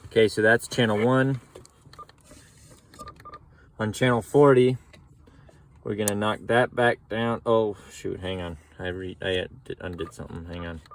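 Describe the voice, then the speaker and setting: A man giving a relaxed, folksy how-to walkthrough from inside a truck. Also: on the low side, measured pace, long pauses. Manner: relaxed, folksy explaining with casual slang. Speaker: mechanic demonstrating CB radio setup. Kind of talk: casual how-to narration.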